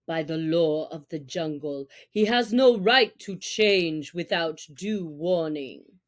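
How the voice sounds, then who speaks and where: A woman voicing a stern, irritable father wolf in a storybook, speaking in a stiff, gruff, curt way. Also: deep voice, lively intonation, slow pace, pitched below the performer's own voice. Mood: angry.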